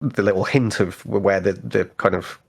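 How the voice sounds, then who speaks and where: A man co-hosting a podcast, talking casually and tentatively as he reasons out loud about worldbuilding. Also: fairly low pitch, fairly even intonation, moderate pace, creaky, breathy edge. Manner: tentative, thinking aloud. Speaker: podcast co-host.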